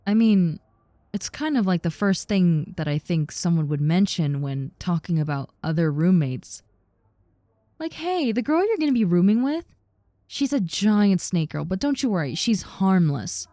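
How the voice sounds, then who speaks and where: A woman acts out a giant but harmless young snake girl for a roleplay audio. Her voice is soft-edged and mellow, a little low, recorded dry and close. She talks like a casual roommate, teasing and reassuring the listener. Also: big pitch swings, a little slow, dry recording. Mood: friendly, joking. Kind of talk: roleplay audio with personal attention, conversational acting.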